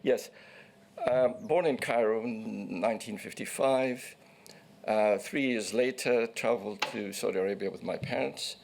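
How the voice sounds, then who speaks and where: An older man in his sixties, originally from Egypt, with a low, gravelly voice. He gives formal testimony in a measured way, with small restarts as he recounts his past. A faint hiss sits behind him. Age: 60s. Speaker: witness.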